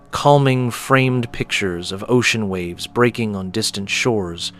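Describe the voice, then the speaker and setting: A man narrating a scripted audio story in a measured, descriptive manner. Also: medium pitch, fairly bright tone.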